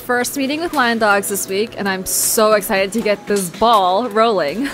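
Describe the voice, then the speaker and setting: A woman's voice: mid-range voice, moderate pace, a bit bright, soft background music, lightly acted. A woman playing an upbeat, wry young woman in an audio drama. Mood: excited.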